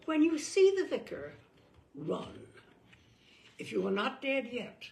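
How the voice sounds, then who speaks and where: A woman reading a humorous book aloud in a theatrical, deadpan way, with dramatic emphasis and pauses. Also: fairly high pitch, gravelly. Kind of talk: theatrical read-aloud of dry comic prose.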